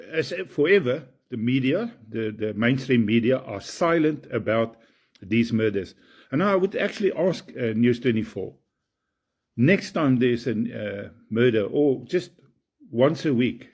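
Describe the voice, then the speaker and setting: A man from South Africa with an Afrikaans accent, speaking English as a second language in a halting, indignant monologue full of fillers. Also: medium pitch, a little mellow, breathy edge. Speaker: video commentator. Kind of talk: casual monologue to camera.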